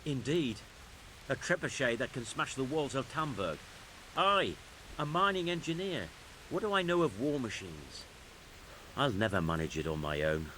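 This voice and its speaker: An actor playing an anxious, deferential medieval master engineer in a game, speaking hesitantly. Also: male voice, medium pitch, expressive, normal speed, sharp tone, rough recording. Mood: worried, exasperated. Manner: hesitant, stammering. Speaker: mining engineer. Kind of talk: dramatic game cutscene acting. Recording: faint hiss and background noise.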